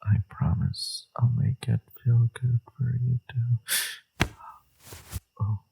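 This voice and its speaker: A man playing a flirtatious, teasing villain with a secretly tender side in an intimate romantic audio roleplay, his voice husky and murmured. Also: steady tone, darkish tone, slight background noise, low-quality recording. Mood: concerned, chiding. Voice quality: low, husky, gravelly, breathy. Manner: slow, teasing, murmured delivery.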